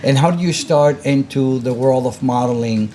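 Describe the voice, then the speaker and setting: A man's voice: medium pitch, normal speed, mellow, slight background noise. A man hosting an interview and introducing his guest in a casual, friendly way. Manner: friendly, casual, many fillers. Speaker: host.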